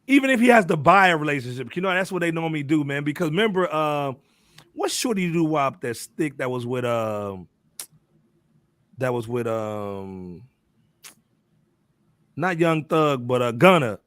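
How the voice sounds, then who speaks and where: A man with a fairly high voice hosts a casual music talk panel. He speaks in slangy, playful banter and repeats phrases to drive them home. The sound is dry and close. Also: big pitch swings, moderate pace, long pauses, a bit bright, no echo. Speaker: podcast host moderating a panel.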